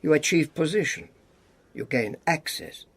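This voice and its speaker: A weary Eastern Bloc spy in a radio play, his fairly high voice swinging widely in pitch. He speaks in wry, deliberate, clipped phrases, a battered survivor with affection under the sarcasm. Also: expressive, fairly bright tone, slightly rough, exaggerated voice. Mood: bitter, reflective. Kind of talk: radio drama acting.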